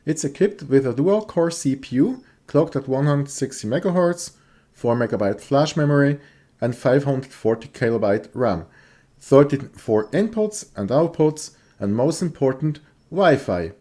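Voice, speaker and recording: A man's voice: medium pitch, expressive, warm tone. A man with a Swiss accent who is not a native English speaker, giving a careful, scripted voice-over tour of his gecko setup. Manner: careful, plain delivery with some halting phrasing. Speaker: hobby gecko keeper and maker.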